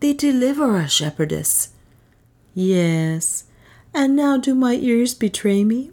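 A woman's voice: medium pitch, warm tone, voice pushed up, lightly acted. Woman reading a proud scornful prophetess. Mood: gentle. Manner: stately, prophetic.

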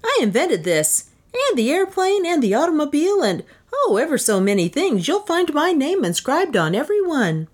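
A woman narrator plays an eccentric male inventor in a storybook. His voice swoops high and animated. He boasts grandly, mangles big words and can turn bashful. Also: moderate pace. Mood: boastful.

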